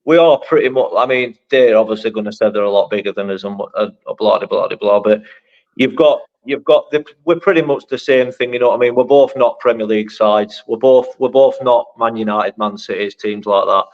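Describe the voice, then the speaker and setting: A man's voice: on the low side, a little fast, dull audio. A man, co-hosting a casual fan podcast, rambling and often saying "you know what I mean". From Sheffield, England. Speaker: podcast co-host. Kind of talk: casual chat.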